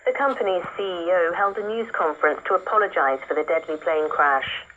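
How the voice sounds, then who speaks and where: A woman anchor reading the news in a measured, formal way, heard over shortwave radio. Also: fairly low pitch, sharp tone. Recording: tinny shortwave radio reception with hiss.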